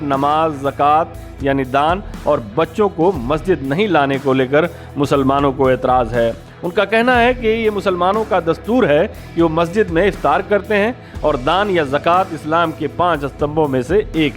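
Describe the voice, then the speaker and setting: A man with a somewhat high voice narrates a news explainer from a script. His delivery is formal and measured, like a presenter setting out a report, with music playing behind him. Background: background music.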